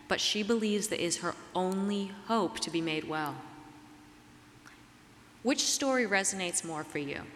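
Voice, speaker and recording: A woman preacher delivering a reflective, measured sermon, her voice slightly raspy as she gets over a cold. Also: lowish voice, fairly bright tone. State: getting over a cold and flu. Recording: reverberant hall, clear background noise.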